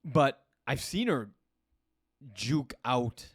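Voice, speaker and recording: A man co-hosting a sports podcast, talking haltingly with many fillers and quick agreements. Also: medium pitch, lively intonation, slightly rough, no room sound, clean studio sound. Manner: hesitant, lots of fillers and agreeing interjections. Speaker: podcast co-host. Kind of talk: casual sports chat.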